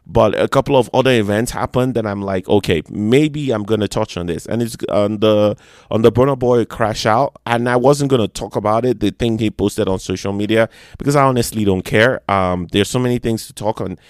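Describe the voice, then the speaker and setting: A man's voice: on the low side, darkish tone, no room sound, clean studio sound. A young Nigerian man hosting his own music podcast, talking chattily and joking about how well he does foreign accents. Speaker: podcast host. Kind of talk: solo podcast monologue.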